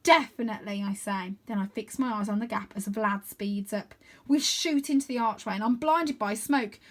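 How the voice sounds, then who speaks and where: A woman reading a children's adventure book aloud as storyteller, with expressive, dramatic emphasis. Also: mid-range voice, normal speed.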